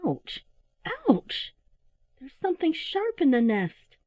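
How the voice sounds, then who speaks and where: Female reader as squabbling owlet: high, squeaky, whiny, childish storybook creature. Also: very animated, slow pace, mellow, no room sound, exaggerated voice. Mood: protesting. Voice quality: whining, squeaky. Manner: childish bickering, drawn out. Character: whining, squabbling baby owls, small and squeaky.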